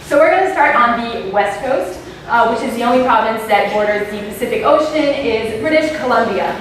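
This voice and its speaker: A woman's voice: on the high side, animated, brisk. A young woman with a French Canadian accent, a native French speaker, giving an informal student presentation in a nervous, chatty way. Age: young adult. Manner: nervous, self-conscious, chatty. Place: echoey indoor room, recorded from a distance. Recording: very echoey room, distant mic, faint noise.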